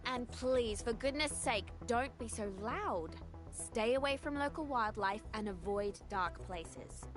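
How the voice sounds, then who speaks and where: A woman's voice: fairly high pitch, animated, measured pace. An actress playing a resourceful, composed young woman agent with a wry, instructing manner in a video game. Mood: reassuring, firm. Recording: game music behind the voice.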